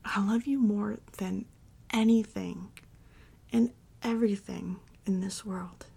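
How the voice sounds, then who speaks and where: Casting: actress as devoted, comforting girlfriend for ASMR roleplay; soft mellow breathy voice with fry, often whispered, unhurried and loving. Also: mid-range voice, steady tone, full character voice. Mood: adoring. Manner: soft, soothing, slow and affectionate. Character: tender, devoted girlfriend and mommy dom comforting her partner.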